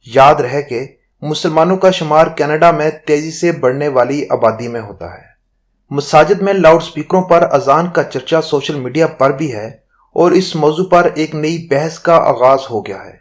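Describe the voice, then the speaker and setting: A man narrating a scripted news report in a formal, measured manner. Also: fairly high pitch.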